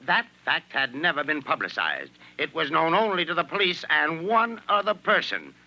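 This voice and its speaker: An actor playing a shrewd, courteous police inspector in a 1940s radio drama, speaking in a measured, probing way. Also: male voice, on the high side, very animated, creaky, lo-fi sound. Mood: coolly accusing. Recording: vintage 1940s radio recording.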